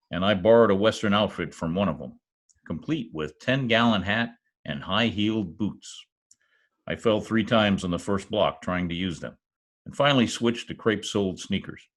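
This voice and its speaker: Male actor as radio detective, low voice, very animated intonation, wisecracking, dry, old-time drama. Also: moderate pace. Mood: wry, narrating. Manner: deadpan, dry quips. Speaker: actor in an amateur radio-play reenactment. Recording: remote home recording over video call.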